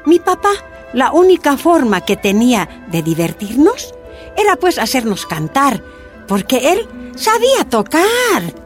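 A woman's voice: high-pitched, big pitch swings, unhurried, soft background music. A woman playing a proud, plain-spoken Bolivian activist giving testimony in a radio drama, with a Bolivian accent and emphatic delivery. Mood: reminiscing. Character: proud Bolivian miner's daughter telling her life, indignant activist.

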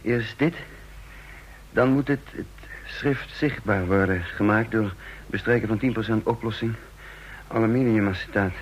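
A low-pitched male secret agent, played by an actor in a radio drama. He is wounded and tense, telling his own story and pressing on with orders. The old recording has some noise. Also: unhurried, lightly acted, low-quality recording. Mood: businesslike, strained. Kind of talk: radio drama acting and first-person narration.

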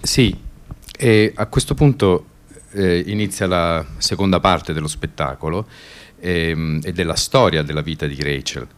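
Deep voiced guy explaining a stage show off the cuff, kinda halting, feeling around for words. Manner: hesitant, searching for words. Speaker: theatre maker presenting the show. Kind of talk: spoken presentation, unscripted explanation.

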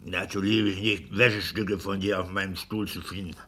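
An actor playing a pompous, domineering clerk and husband in a 1960s German radio play, scolding and self-satisfied. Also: male voice, sits low, fairly even intonation, normal speed, fairly bright tone. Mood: scolding, blustering.

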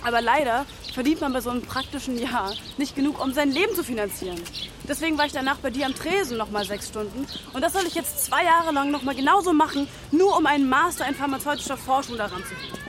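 High-pitched young woman, twenties, disillusioned, argumentative, radio drama, clearly noisy location sound. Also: expressive, fast. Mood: bitter, agitated. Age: 20s. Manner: argumentative, questioning. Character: frustrated, disillusioned young pharmacy graduate working in a bar. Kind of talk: naturalistic radio drama dialogue.

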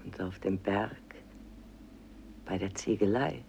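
An actress playing a solemn, dreamy old village woman in a poetic radio drama, creaky and drifting towards song. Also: very deep voice, slow pace, slight background noise, heavily acted. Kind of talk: extremely slow poetic radio drama recitation, partly sung. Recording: dull recording, loud plucked-string music.

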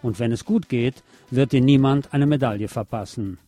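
A man's voice: mid-range voice, moderate pace, dark tone. A man presenting a scripted film review as a radio host.

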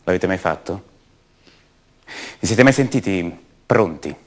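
A man's voice: low-pitched, lively intonation. Hesitant, wry man. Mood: questioning, uneasy. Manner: halting, conversational, rhetorical questions to the listener. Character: ordinary man returned home, hesitant, wry, self-doubting drifter. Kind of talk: naturalistic theatrical monologue for radio drama.

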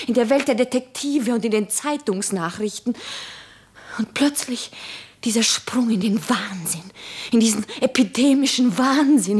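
An anguished woman in love for a literary radio play. Her voice is fairly high and husky, with breathy edges. She speaks very slowly and lyrically, with impassioned devotion.

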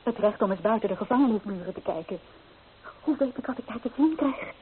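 A woman's voice: mid-range voice, animated, fast, close to an everyday voice. An actress playing a young, earnest and wistful romantic woman in an old radio drama. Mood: yearning, defiant. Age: young adult. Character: young romantic woman longing for freedom, torn. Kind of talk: old radio play acting. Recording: tinny old radio recording, faint noise.

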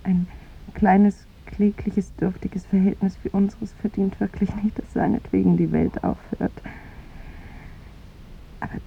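A woman acting in a 1950s German radio drama as a charming, melancholy young lover, confessing theatrically with hushed, breathy phrase endings. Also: medium pitch, fairly even intonation, measured pace, long pauses, slight background noise. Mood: anguished, lyrical. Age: young adult. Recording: thin, phone-like 1950s radio recording.

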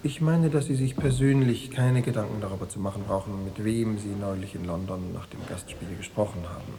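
A man in a radio play acting a smooth, insinuating East German secret police officer, falsely reassuring and bureaucratic. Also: lowish voice, fairly quick, big-room sound, noisy background, close to an everyday voice. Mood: falsely soothing.

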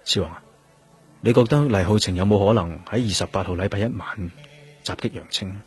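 Low-voiced Hong Kong police detective. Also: male voice, brisk, husky. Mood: serious, dogged. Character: determined male police detective, questioning and probing. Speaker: actor in a radio drama. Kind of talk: Cantonese radio drama acting. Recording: music bed behind the voice.

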